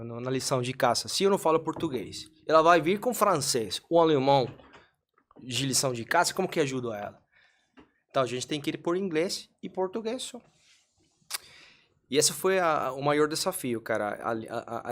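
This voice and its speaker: A man from Colombia, a native Spanish speaker, talking as a podcast guest in Portuguese with a Colombian accent, earnest and measured, sometimes searching for words. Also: a little high, a bit bright. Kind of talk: casual interview storytelling.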